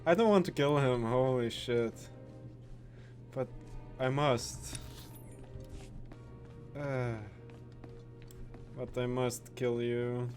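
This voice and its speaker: A man commentating casually on a video game he is playing. He talks very slowly and haltingly in a mid-pitched voice, informal and sweary, with faint music behind him. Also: darkish tone. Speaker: gaming video host. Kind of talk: casual gameplay reaction commentary.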